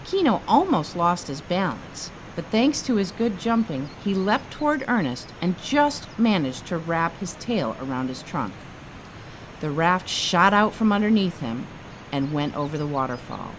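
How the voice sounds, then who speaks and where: A woman author reading her children's book aloud in an expressive storytelling style. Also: sits low, a little hiss or hum, low-quality recording.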